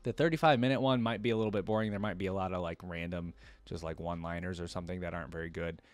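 A man's voice: sits low, steady tone, very fast, no echo. A man in his twenties giving casual reaction commentary and reading story text aloud, close on a desk mic indoors. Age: 20s. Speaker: reaction video host. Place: indoor room at a desk with gaming chair.

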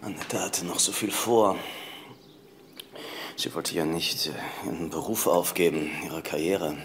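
A middle-aged married man with a low voice, in a crime drama. He answers in a subdued, defensive way, with faint background noise. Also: a little slow. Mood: subdued, wistful. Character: grieving married man, defensive, questioned by police.

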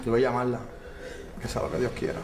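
An actor playing an anxious man who must break bad news, calming a distraught woman. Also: mid-range voice, roomy sound, heavy background noise, lightly acted. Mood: worried. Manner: nervous, soothing, hurried. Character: anxious man who brought his friend to the city.

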